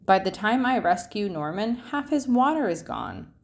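A woman reading a children's picture book aloud expressively, with drawn-out phrase endings. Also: mid-range voice, sing-song.

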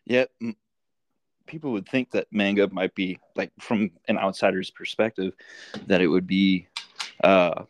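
A man's voice: low-pitched, fairly even intonation, a little slow, no echo. A man hosting a podcast, chatting casually in an interview. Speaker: podcast host and comic maker.

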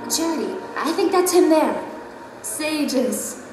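An actress playing a gentle, concerned woman in an audio drama, anxious and earnest as she speaks. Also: sits high, animated, slow pace, over music. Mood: worried. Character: gentle, concerned woman seeking news of friends. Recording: echoey, distant, noisy ambience.

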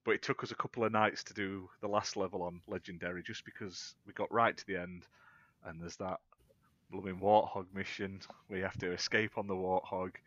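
Very deep-voiced British man, slightly dull audio. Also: steady tone, fairly quick, a bit bright, dry recording. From United Kingdom. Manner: chatty, easygoing, laughs. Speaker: podcast co-host. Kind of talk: casual gaming chat.

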